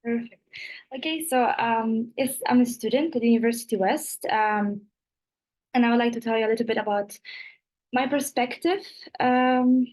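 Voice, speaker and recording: A woman's voice: a little high, moderate pace, darkish tone. A woman in her twenties with a Polish accent, giving an informal student presentation on an online call in non-native English. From Poland. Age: 20s. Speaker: student representative. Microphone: video call.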